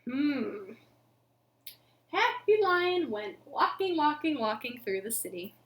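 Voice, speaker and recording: High-pitched young woman telling children's stories. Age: young adult. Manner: animated, addressing young children, deliberate phrasing. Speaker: children's storytime host. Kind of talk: children's storytelling and action songs. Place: living room at home.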